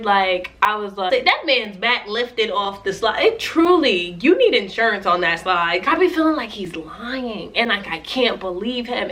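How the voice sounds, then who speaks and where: A woman's voice: a little high, bright, forceful tone. A young woman vlogger chatting casually in a playful, joking way about a show she watched. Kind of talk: casual reaction commentary.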